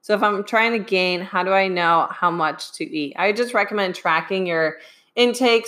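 A young adult woman who coaches fitness, giving live advice with a voice worn hoarse by a sinus infection and often checking in with "okay?". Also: lowish voice, fairly bright tone. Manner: keeps asking "okay?". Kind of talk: live-streamed advice talk.

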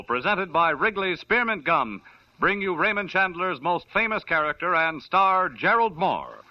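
A man working as a radio announcer, reading ads and credits in a polished, upbeat style. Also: a little high. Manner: polished, upbeat, sing-song delivery. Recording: dull old radio broadcast.

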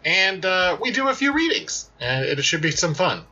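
Male host, bright and very lively intonation, halting casual intro, slightly muffled lo-fi recording. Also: high voice, slightly rough. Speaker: podcast host. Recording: slightly dull, lo-fi audio.

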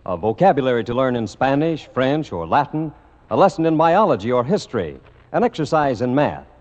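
A man's voice: mid-range voice, darkish tone. A man reading scripted announcements as a host in 1950s radio style. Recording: muffled vintage radio recording, long reverb.

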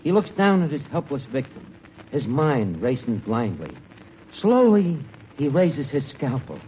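A man's voice: medium pitch, wildly swooping pitch, measured pace. A man playing a mock-suspenseful soap-opera narrator in an old-time radio comedy. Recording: muffled, noisy vintage radio recording.

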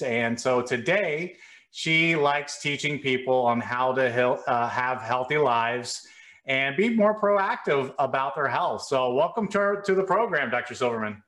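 A man's voice: medium pitch, fairly bright tone. A man hosting an interview, asking questions in a friendly, conversational way. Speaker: interview host. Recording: echoey room.

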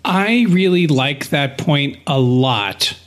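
A man co-hosting a podcast, discussing analytically in a deliberate, slightly formal conversational way. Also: on the high side, animated. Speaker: podcast co-host.

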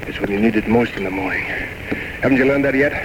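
A man's voice: lowish voice, fast, close to an everyday voice. A man playing an eloquent, charming but desperate drinker in an old-time radio drama, with florid, mock-grand, poetic delivery. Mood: needy, excited. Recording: noisy vintage radio recording with music.

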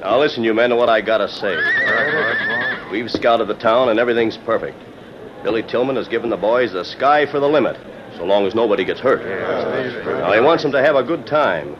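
A noisy, muffled old radio western recording carries a scheming outlaw boss voiced by a male actor. His voice is fairly low, and he gives orders in a commanding, conspiratorial way with rough frontier talk. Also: animated, fairly quick, natural voice. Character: bossy scheming outlaw gang leader, Old West.

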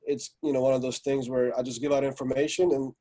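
A man from Slovakia in his forties, a guest on a podcast, telling his story in a relaxed, rambling way with many fillers. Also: mid-range voice, quick pace. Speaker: guest, music entrepreneur. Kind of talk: casual podcast interview.

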